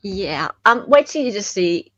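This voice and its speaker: A woman's voice: medium pitch, big pitch swings, a little slow, dry recording, highs cut off. A woman hosting true-crime commentary in a casual, chatty and wry way. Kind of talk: casual chatty commentary on court evidence videos.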